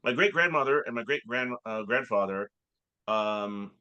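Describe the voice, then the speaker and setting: A man co-hosting a comedy podcast, telling rambling stories in halting bursts full of filler sounds. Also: mid-range voice, expressive, pushed and bright, clear, resonant tone, muffled recording. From Canada. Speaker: podcast co-host. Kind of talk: casual comedic chat.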